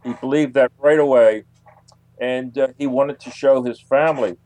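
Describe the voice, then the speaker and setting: A man answering as an interview guest, conversational and halting, repeating phrases for emphasis. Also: medium pitch, steady tone, warm tone, no room sound, poor audio.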